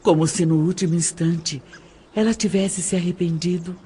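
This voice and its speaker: A woman playing a practical middle-aged adoptive mother in an audio drama, explaining in measured phrases with emphatic pauses. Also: fairly low pitch, fairly even intonation, warm tone, slight background noise, lightly acted. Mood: grave, somber. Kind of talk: dramatized audio novel dialogue.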